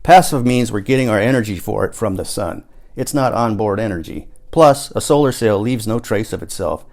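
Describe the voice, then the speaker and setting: A man voicing an excitable, conspiracy-minded amateur rocket schemer in audiobook dialogue, explaining things emphatically. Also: lowish voice, normal speed. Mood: cautioning, explaining. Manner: emphatic, explanatory, catchphrase-prone.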